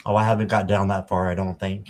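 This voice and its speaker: A man in his fifties hosting a casual livestream, greeting viewers by name and filling pauses with ums. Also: low-pitched, level tone. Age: 50s. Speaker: livestream host.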